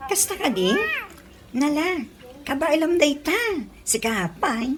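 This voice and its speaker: A woman's voice: high-pitched, very animated, a little slow. A woman with a Filipino accent playing an admiring, encouraging young cousin in a radio comedy drama. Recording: clear background noise.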